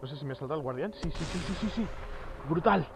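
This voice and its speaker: A man in his thirties with a Spanish accent from Spain, giving excited commentary while playing a virtual reality game outdoors, exclaiming and laughing at his viewers. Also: a little high, fairly quick, creaky, noisy background. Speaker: VR gaming youtuber. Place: outdoors on an empty road at dusk. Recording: music under voice. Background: background music.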